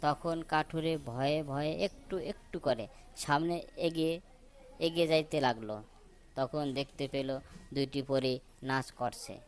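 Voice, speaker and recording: Slow-paced man telling a children's tale. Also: fairly high pitch. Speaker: story narrator. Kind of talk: children's folk tale narration.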